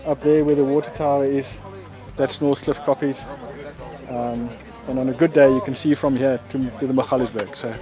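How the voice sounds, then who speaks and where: Relaxed man outdoors. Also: medium pitch, normal speed. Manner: relaxed, pointing things out with fillers. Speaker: local trail guide. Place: outdoors on a hilltop.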